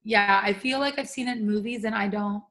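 A woman's voice: medium pitch, brisk, fairly bright tone. A young woman co-hosting a casual chat and reading listener answers aloud, playful and casual with swearing, tired after a long workday. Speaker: podcast co-host.

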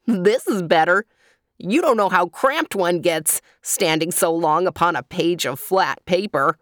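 A woman's voice: fairly low pitch, expressive, unhurried, no echo. An impudent male clown out of a children's book, performed by a woman reader. He is silly and a bit rude, with a cross, sulky edge. The sound is dry and close. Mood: cheeky. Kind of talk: children's storybook character reading.

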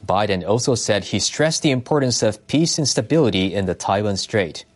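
Male newsreader, lowish voice, scripted bulletin delivery. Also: animated, moderate pace. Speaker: news reader. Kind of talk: scripted news narration.

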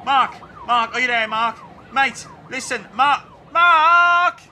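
A man's voice: extremely high pitch, flat delivery, unhurried. A British man acting a hapless, overexcited bloke in a comedy sketch, stammering and insistent. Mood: manic, gleeful.

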